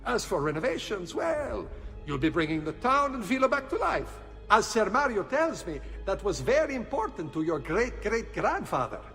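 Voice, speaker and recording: A fussy, eager Italian architect pitches services in a game cutscene. The voice is high for a man and very animated. Soft music plays behind in a reverberant space. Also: normal speed, heavily acted. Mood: eager, persuasive.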